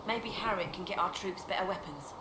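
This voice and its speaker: A woman's voice: medium pitch, close to an everyday voice. Woman acting a blunt army quartermaster, very noisy backdrop. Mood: brisk, practical. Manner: brisk, plain-spoken. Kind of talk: video game dialogue acting. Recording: roomy game audio, very noisy background.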